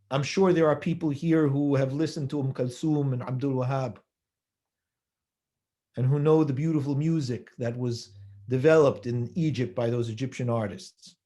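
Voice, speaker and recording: Male speaker, mellow voice, measured sermon delivery with rhetorical questions. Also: mid-range voice. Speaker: rabbi giving a holiday talk.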